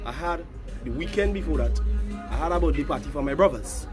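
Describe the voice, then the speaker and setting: A high-voiced Grenadian man, hoarse from a cold, chats on a phone live stream. He jokes, teases his viewers and slips into sung lines, with faint music in the background. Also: animated, brisk. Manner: joking, teasing viewers for money, bursts into sung lines. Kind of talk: casual live-stream chat.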